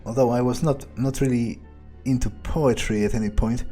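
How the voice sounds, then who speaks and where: A man's voice: medium pitch, steady tone, moderate pace, warm tone, faint music behind. A man thinking aloud in character during a video game playthrough, sardonic and hesitant, with casual swearing. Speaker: gamer playing in character.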